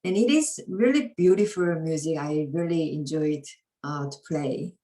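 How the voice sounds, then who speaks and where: A woman, a musician explaining a piece in a halting way with many fillers and restarts. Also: low voice, mellow. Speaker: piri performer, guest.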